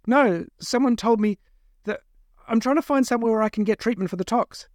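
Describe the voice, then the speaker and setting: A man voicing a high, frightened male captive. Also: brisk, no echo, clean studio sound. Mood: frightened, protesting. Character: frightened captive male traveller, pleading and defensive. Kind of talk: dramatised audiobook dialogue.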